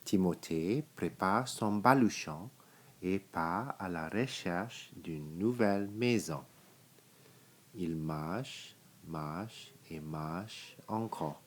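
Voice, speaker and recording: A man reading a children's picture book aloud, in deliberate, simple phrases with pauses. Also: deep voice. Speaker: storybook reader.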